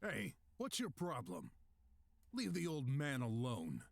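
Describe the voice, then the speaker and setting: A man as an earnest, animated young detective. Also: medium pitch, takes it slowly, gravelly, full character voice. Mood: stern. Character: earnest, stubborn, kind-hearted young male detective. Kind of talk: video game voice acting. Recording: game music behind the voice.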